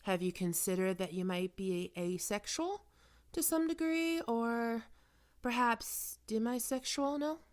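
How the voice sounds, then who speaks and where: A woman playing a probing, smoothly manipulative psychiatrist in an ASMR roleplay, speaking in measured, deliberate phrases. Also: a little high, lively intonation. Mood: probing, insinuating. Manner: measured clinical questioning with deliberate pauses. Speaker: voice actor performing a roleplay.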